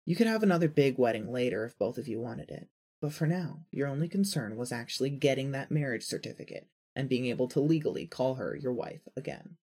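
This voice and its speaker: A man's voice: mid-range voice, expressive, a little fast, a little mellow, breathy edge. A man reading scripted fan fiction narration as the storyteller.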